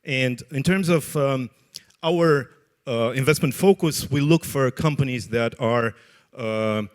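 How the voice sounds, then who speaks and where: A man's voice: mid-range voice, takes it slowly. Romanian-accented man presenting a fund. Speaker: venture capital investor. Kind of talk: stage pitch presentation.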